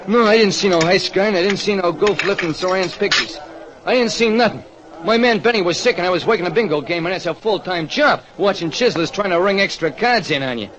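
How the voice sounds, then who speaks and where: An actor playing a grouchy, harried American game boss, curt and complaining, in an old radio drama. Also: male voice, sits high, fast talker, background noise, natural voice. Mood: irritable. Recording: dull vintage radio recording.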